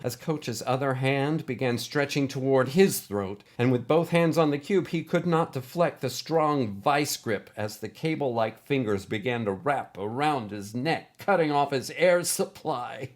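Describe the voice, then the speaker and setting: A man's voice: mid-range voice, expressive, normal speed, few pauses. A man reading a scripted adventure story as its narrator.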